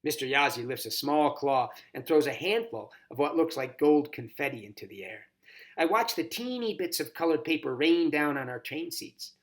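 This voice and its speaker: A man reading a children's novel aloud as a volunteer, narrating in an expressive, dramatized first-person style. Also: on the high side, moderate pace. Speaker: volunteer storybook reader.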